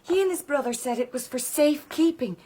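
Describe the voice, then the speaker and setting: Actress as high-voiced, earnest Irish peasant girl. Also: brisk, dark tone, close to an everyday voice. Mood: anxious, insistent. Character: earnest, wronged young Irish peasant woman. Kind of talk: period radio drama acting.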